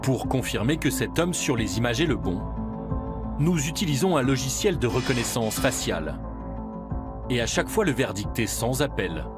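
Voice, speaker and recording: French male narrator, expressive delivery over music. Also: mid-range voice, normal speed. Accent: French from France. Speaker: documentary narrator. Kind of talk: scripted investigative voice-over narration. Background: background music.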